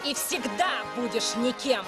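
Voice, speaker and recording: A woman playing a haughty, spiteful young ballerina rival in a dubbed animated film. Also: a little high, measured pace, in a large hall, over loud music. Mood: sneering, boastful. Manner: haughty, sneering. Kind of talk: animated film dub acting.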